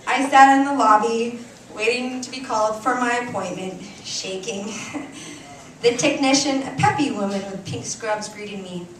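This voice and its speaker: Lady doing a dramatic story reading live, really echoey, far from the mic, noisy room. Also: on the high side. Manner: expressive, deliberate, theatrical delivery with dramatic pauses. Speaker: reader performing a short story on stage. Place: indoor cafe stage before an audience.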